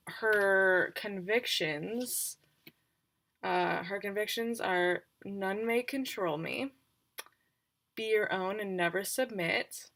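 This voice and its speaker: Woman game master doing a casual livestream recap, creaky fry, unhurried, kinda halting as she thinks out loud. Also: medium pitch. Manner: informal, halting, thinking aloud. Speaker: game master introducing player characters.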